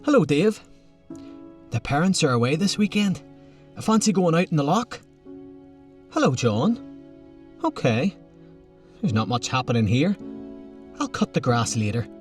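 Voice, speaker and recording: A man's voice: a little high, sing-song, measured pace, pauses often, faint music behind, voice pushed up. A man voicing eager, breezy young men chatting casually in a story. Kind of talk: acted story dialogue.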